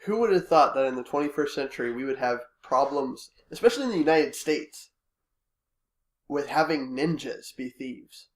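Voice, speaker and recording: A man with a head cold hosts a laid-back podcast, his voice congested and interrupted by the odd cough. He talks in a relaxed, hesitant way, filling gaps with fillers as he works through his thoughts. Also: mid-range voice, moderate pace, roomy sound. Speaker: podcast host. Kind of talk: casual chat.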